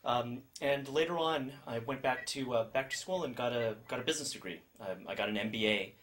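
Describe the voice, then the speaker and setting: A man's voice: mid-range voice, a little fast, a bit bright, a little hiss or hum. Hesitant man giving a classroom talk. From San Francisco, USA. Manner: hesitant, many ums and restarts. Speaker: guest speaker. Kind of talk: classroom video call talk. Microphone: video call.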